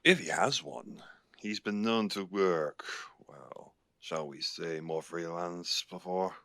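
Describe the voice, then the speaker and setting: A man acts out a guarded Irish werewolf alpha for an audio drama. His voice sits deep in the register and is recorded dry. He chooses his words deliberately and gravely, like a seasoned pack leader. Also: sharp tone, dry recording, full character voice. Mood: stern, advising. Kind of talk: audio roleplay acting.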